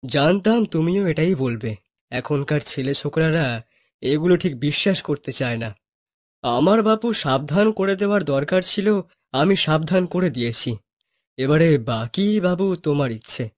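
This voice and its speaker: A man's voice: fairly high pitch, animated, unhurried, dry recording, studio quality. A man playing an older rustic tea-stall keeper in a horror cartoon, cautioning in a folksy way. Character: rustic older tea-stall uncle, cautious, well-meaning, warning travellers. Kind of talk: animated story acting.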